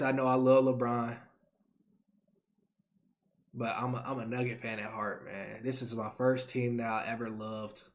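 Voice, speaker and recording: A man in his twenties, a YouTube creator, talking to camera in an animated, slangy rant, repeating himself, from a small bedroom on a webcam mic. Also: medium pitch. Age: 20s. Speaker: YouTube sports reaction creator. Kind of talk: excited casual rant to camera.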